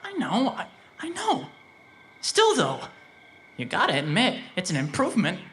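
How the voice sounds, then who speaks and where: A woman's voice: mid-range voice, huge pitch swings, moderate pace, a bit bright, slight background noise. A woman playing a needling, sardonic accomplice in an audio drama. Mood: grudging, sly.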